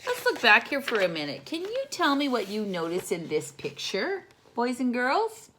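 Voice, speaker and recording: A woman reading a children's story aloud in a teacherly manner, pausing to put questions to young listeners and explain things. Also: a little high, huge pitch swings, bright, forceful tone, slight background noise.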